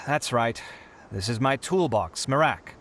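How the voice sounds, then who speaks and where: A man's voice: mid-range voice, expressive, slow pace, fairly bright tone, a little hiss or hum. A man playing a harried young inventor in a video game, dramatic and put-upon but kind-hearted, with an exasperated manner. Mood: explanatory. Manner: exasperated, theatrical, sighing. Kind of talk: animated video game character acting.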